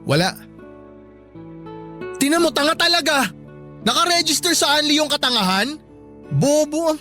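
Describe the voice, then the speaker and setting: In a radio drama, a hot-tempered young boyfriend, voiced by a woman, snaps insults and sarcastic orders. The voice sits very high and bright. A faint bed of music plays underneath. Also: animated, moderate pace. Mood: irritated, insulting. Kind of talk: Tagalog radio drama acting.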